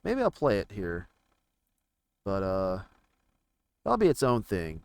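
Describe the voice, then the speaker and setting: A man giving casual, rambling commentary on video games, haltingly thinking out loud as he browses. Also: on the low side, wildly swooping pitch, gravelly, clear, resonant tone, dry recording. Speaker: gaming video creator.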